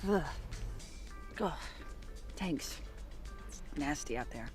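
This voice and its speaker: A woman's voice: deep voice, lively intonation, takes it slowly, faint music behind. A woman playing a world-weary, sarcastic police detective in an audio drama, blunt and informal. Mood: dry, weary.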